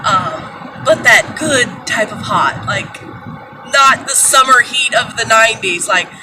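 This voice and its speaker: A woman chatting casually to her viewers from her car, congested with allergies and sounding deeper than usual, rambling with fillers. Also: measured pace, over music, poor audio. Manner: rambling, loose, with many fillers. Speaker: vlogger. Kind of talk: casual vlog chat. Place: parked or driving car, morning. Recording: steady car noise behind.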